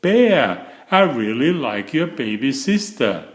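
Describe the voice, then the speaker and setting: A friendly young turtle boy from a picture book, played by a man. He speaks eagerly and sincerely, with lively pitch movement. The room echoes. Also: slow pace. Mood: admiring, eager.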